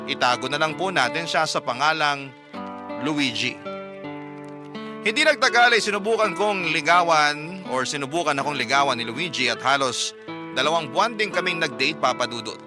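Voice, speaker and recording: A man's voice: fairly high pitch, lightly acted. A man narrating a scripted radio love story in the first person as a hopeful single woman. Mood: tender, hopeful. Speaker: storyteller on radio drama show. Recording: music bed behind the voice.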